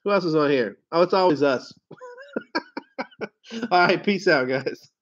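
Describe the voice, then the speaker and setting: A man hosting a casual livestream. He tells rambling stories, laughs and swears easily, and sounds hoarse from a head cold. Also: sits high, huge pitch swings, slow. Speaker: car sales livestream host. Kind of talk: casual livestream chat with viewers. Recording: dull lo-fi stream audio.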